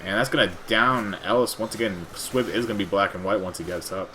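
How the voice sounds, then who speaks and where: A man's voice: on the low side, a little fast, bright, forceful tone, a little hiss or hum. A man casting an esports match live, mixing play-by-play with casual, slangy chat.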